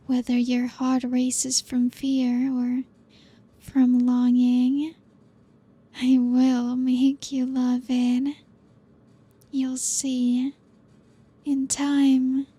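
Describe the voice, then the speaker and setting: A woman voicing a possessive, seductive female vampire in an ASMR roleplay, speaking softly and slowly as she dotes and commands. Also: sits high, husky, exaggerated voice. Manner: slow, soft, doting and controlling.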